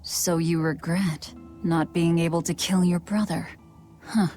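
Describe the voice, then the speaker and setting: A guarded young woman fighter from a video game, played by an actress, with a somewhat low voice. She is cool, pragmatic and detached in her phrasing, over faint music. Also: fairly even intonation, a little slow, dark tone, lightly acted. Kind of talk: dubbed video game dialogue.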